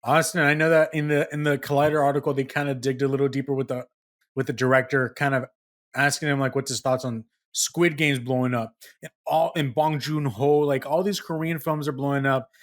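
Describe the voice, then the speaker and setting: A man hosting a casual gaming podcast, steering the talk with questions in an animated way. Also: mid-range voice, brisk. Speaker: podcast host.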